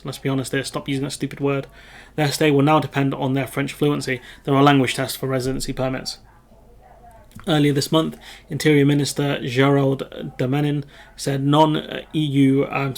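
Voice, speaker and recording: A man's voice: mid-range voice, vocal fry. A British man hosting his own video channel, reading out a news story and adding rambling, self-correcting remarks full of ums. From United Kingdom. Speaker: video host. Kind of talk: casual commentary reading news.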